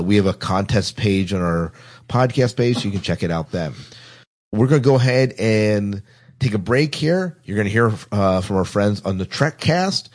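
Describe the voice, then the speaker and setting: A man's voice: lowish voice, a little mellow. A man hosting a podcast, chatting casually as he runs through show announcements. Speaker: podcast host.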